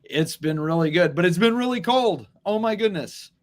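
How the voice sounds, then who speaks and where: A man's voice: high voice, animated, normal speed. An American man co-hosting a morning show, chatting casually in an easygoing, good-humored way. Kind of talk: casual chat.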